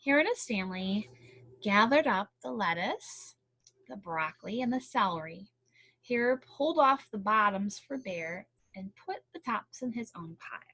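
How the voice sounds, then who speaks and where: A woman reading a picture book aloud in an expressive, chatty way, with casual explanatory commentary. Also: medium pitch, no echo, rough recording. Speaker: storybook reader.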